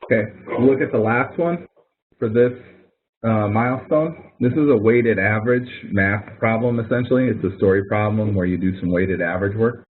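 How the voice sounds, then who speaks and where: A man's voice: fairly low pitch, normal speed. A man instructor talking students through an assignment in a casual, explanatory webinar style, thinking aloud as he demonstrates. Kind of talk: webinar walkthrough. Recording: phone-like narrow audio from a webinar.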